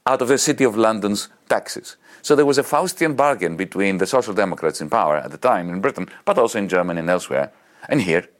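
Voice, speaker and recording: A man with a Greek accent arguing politics in an interview, emphatic and animated, piling up examples. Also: on the low side. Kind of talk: impassioned political argument.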